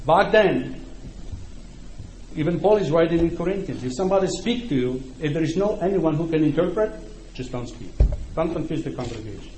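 A man's voice: a little high, animated, fairly quick, mellow. A middle-aged man with a Polish accent, a native Ukrainian speaker, preaching a sermon in a conversational way and often checking in with his listeners. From Poland. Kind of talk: sermon to a congregation. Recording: echoey room, faint background noise.

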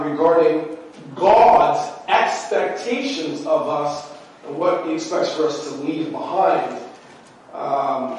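Nasal-sounding preacher in an echoey church. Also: male voice, fairly high pitch, darkish tone, distant mic, slight background noise. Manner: conversational, heavy use of "you know" fillers. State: stuffy from allergies. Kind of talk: sermon. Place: church sanctuary.

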